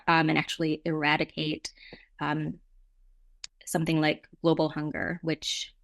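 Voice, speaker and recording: Low creaky-voiced woman, reflective. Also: monotone, measured pace. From Jamaica. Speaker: nonprofit representative, interview guest. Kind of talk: conversational interview answers.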